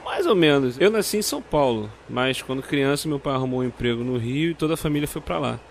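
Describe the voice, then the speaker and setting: A man's voice: mid-range voice, expressive, quick pace, gravelly, a little hiss or hum. A man playing an awkward, informal police detective with a Brazilian Rio accent in an audio drama. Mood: matter-of-fact. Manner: informal, slightly hesitant. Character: awkward, dry-humored male police detective. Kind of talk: naturalistic audio drama acting.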